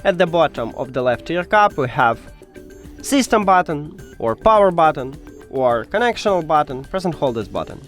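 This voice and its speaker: A man narrating a step-by-step tech tutorial in informal, slightly non-native English phrasing, pausing hesitantly as he explains. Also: on the high side, sing-song, fairly bright tone, faint music behind.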